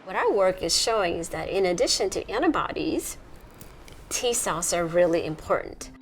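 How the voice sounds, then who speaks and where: A middle-aged woman from Nepal, a researcher, explaining her work in a measured documentary interview. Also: on the low side, animated, faint music behind. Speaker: immunology researcher.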